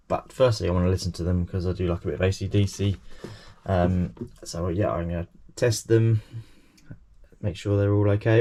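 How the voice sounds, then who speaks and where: A man's voice: on the low side, dark tone. A middle-aged man with a cold, talking in a casual, rambling way to camera about his eBay sales. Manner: rambling, lots of ums, self-deprecating. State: nasal from a cold. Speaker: eBay reseller vlogger.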